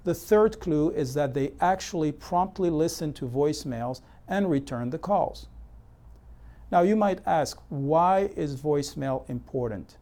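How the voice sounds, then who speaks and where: A man delivering scripted instructional narration as an educational presenter, in a measured, methodical, explanatory way. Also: fairly high pitch, expressive, mellow. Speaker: presenter of real estate consumer education.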